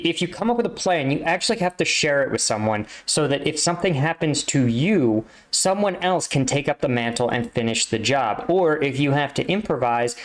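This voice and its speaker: Male reaction host, casual and critical, explanatory delivery with an exasperated streak. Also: mid-range voice. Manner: exasperated, explanatory, rhetorical "right?" tags. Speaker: reaction video host, army combat veteran.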